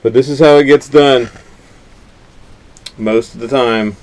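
A man's voice: mid-range voice, steady tone, unhurried, darkish tone, low-quality recording. A man, chatting casually and dryly as host of a sailing vlog. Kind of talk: casual chat. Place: sailboat, open deck and wooden cabin.

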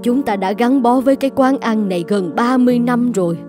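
A woman voicing a motherly older eatery owner in a cartoon, caring and gently insistent. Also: fairly high pitch, brisk, over music, close to an everyday voice. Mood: worried. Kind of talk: animated moral-tale dubbing.

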